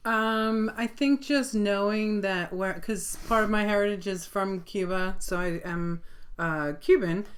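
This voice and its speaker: A woman's voice: mid-range voice, measured pace. A middle-aged woman with a New York accent, chatting casually as co-host of a live Q&A stream. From Long Island, New York. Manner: chatty, warm laughs, filler ums.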